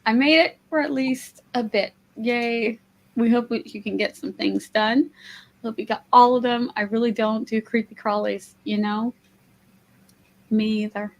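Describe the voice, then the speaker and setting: A woman losing her voice, hosting a casual stream from home, talking softly and laughing often. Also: a little high. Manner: soft-spoken, laughs often. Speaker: livestream host. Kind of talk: casual chat on a writing stream.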